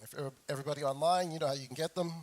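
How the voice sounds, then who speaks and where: A middle-aged male pastor delivers a sermon in a somewhat high-pitched voice that is hoarse from a lingering cold. He speaks deliberately and leans on repeated phrases to drive his point home. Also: fairly even intonation, darkish tone. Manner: frequent throat clearing. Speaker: pastor preaching to his congregation. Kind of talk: sermon with scripture reading.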